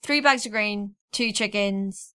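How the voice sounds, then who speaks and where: A woman's voice: mid-range voice, slow, bright, forceful tone, dry recording, clean studio sound. A woman hosting a podcast, reading out questions and chatting casually. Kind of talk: casual chat.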